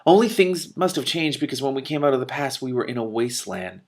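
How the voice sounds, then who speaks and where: A man's voice: medium pitch, fairly quick, lightly acted. A man voicing a bewildered, hesitant prince in a storybook, stammering and flustered. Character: bewildered, hesitant prince and uncle, timid and rambling.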